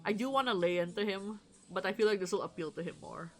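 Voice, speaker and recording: A woman's voice: mid-range voice, fast talker, a little mellow, rough recording. A woman streaming a game, reading a visual novel aloud with casual, sweary, amused asides. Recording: music behind the voice.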